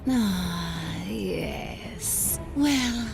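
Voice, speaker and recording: Slow, haughty death-goddess villain. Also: female voice, medium pitch, animated, vocal fry, full character voice. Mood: sly menace. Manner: regal, theatrical, menacing. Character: haughty, scheming goddess queen of the dead. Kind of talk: video game cutscene acting. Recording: loud orchestral game music behind.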